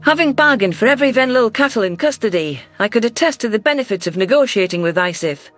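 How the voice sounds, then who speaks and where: A man narrating a science fiction story in an even, scripted storytelling delivery. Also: high-pitched, fast talker, dry recording, faint music behind.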